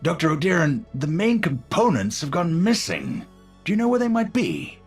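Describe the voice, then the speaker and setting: An actor playing an eccentric older physicist in a video game, gentle and brilliant yet unhinged, speaking formally and precisely with a breathy, husky voice. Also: male voice, a little high, big pitch swings, moderate pace, faint music behind, exaggerated voice. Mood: intrigued, courteous. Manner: precise, formal, musing, erratic swings into fervour. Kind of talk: video game character voice lines.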